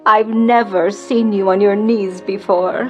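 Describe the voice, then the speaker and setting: A woman's voice: mid-range voice, steady tone, a little slow, a little mellow, faint music behind, lightly acted. An actress in her thirties playing a wealthy wife nearing forty in a historical radio drama, earnest and hesitant. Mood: tender. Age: 30s.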